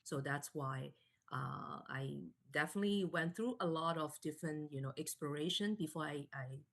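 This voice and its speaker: A woman in her forties from Canada, a guest in a live online interview, telling her story softly with many fillers and restarts. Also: sits low, normal speed, gravelly.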